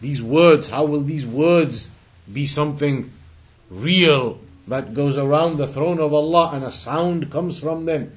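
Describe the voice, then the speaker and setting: Guy teaching islamic lesson, voice a bit high, emphatic, repeats and rewords stuff as he explains. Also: unhurried, husky. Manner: emphatic, repeats and rephrases points. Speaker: religious teacher. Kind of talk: Islamic lesson with recited Arabic quotations and English explanation.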